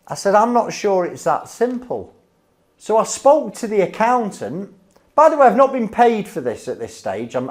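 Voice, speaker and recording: A man's voice: high voice, darkish tone. A Northern English man telling business anecdotes informally, blunt and emphatic, often checking in with "okay?" or "right?". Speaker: insolvency adviser.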